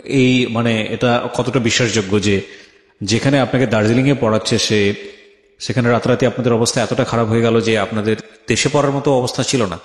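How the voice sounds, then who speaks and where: Fast-talking Bangladeshi male interviewer in heavy echo. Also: medium pitch, fairly even intonation. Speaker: interview host. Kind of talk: probing interview questions. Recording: echoey with long reverb.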